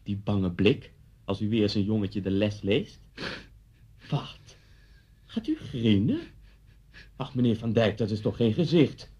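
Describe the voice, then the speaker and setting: A man's voice: on the low side, lively intonation, unhurried, pauses often, dark tone, husky, dull audio. An actor playing a vengeful, deranged middle-aged man in a radio play, mocking and theatrical as he threatens someone. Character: vengeful, deranged man taunting his old schoolteacher at gunpoint.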